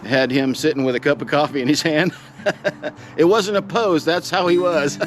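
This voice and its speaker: A man's voice: fairly high pitch, fairly even intonation, normal speed, music in the background. Middle-aged man, reflective folksy storyteller. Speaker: pipe vlogger telling a personal story. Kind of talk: reflective, personal storytelling to camera.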